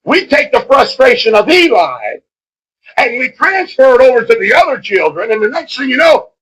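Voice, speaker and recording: A middle-aged man preaching a fervent fundamentalist sermon, emphatic and repeating phrases, swinging between hushed gravity and shouting, on an old cassette recording. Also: extremely high pitch, big pitch swings, moderate pace, breathy edge, rough recording.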